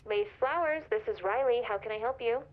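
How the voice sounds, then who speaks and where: A woman playing a brisk young receptionist in a video game, polite and businesslike on a telephone. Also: on the high side. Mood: bright, evasive. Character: brisk, evasive young woman answering shop phones. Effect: telephone filter. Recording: tinny phone line.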